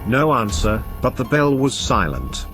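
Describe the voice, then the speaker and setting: A man reading a scripted first-person horror story as an expressive narrator. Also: medium pitch, a little slow. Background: background music.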